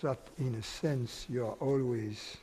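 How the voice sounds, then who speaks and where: Slow, soft-spoken man guiding meditation. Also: mid-range voice, long reverb tail, a little hiss or hum. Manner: slow, unhurried, with long pauses. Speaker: meditation teacher. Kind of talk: guided meditation.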